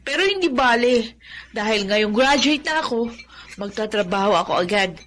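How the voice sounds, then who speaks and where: A woman's voice: on the high side, expressive, moderate pace, a bit bright, a little hiss or hum. An actress playing an eager, grateful young woman, an earnest and polite daughter, in a radio drama. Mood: earnest, happy.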